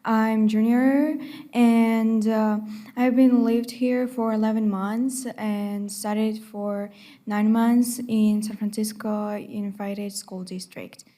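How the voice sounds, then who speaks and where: A female high school student from Ukraine, introducing herself carefully, with small grammar slips. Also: level tone, slow, dark tone.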